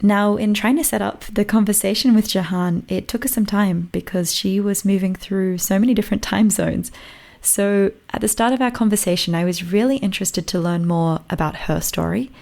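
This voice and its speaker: A woman hosting a podcast, reading a measured scripted introduction and asking interview questions. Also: medium pitch, vocal fry, darkish tone. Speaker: podcast host.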